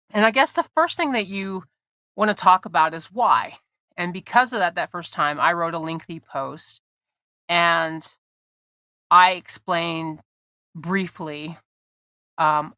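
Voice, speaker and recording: A woman's voice: fairly low pitch, bright, forceful tone, dry recording. A woman hosting a podcast in casual chat, a bit congested, with lots of ums, restarts and laughs. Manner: chatty, laughs often, frequent ums and restarts. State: congested from allergies. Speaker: podcast host.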